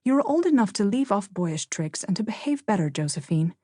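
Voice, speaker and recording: A woman's voice: medium pitch, big pitch swings, a little fast, warm tone, no room sound, clean studio sound. A woman voicing a proper teenage eldest sister, sweet but complaining, with a lecturing, plaintive manner in an audiobook.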